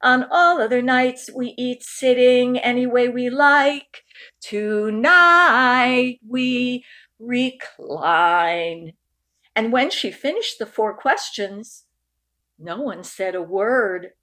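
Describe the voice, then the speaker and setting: A woman reading a picture book aloud expressively, with deliberate, dramatic phrasing that stretches key words. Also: on the high side, lively intonation, sharp tone. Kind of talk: expressive storybook read-aloud.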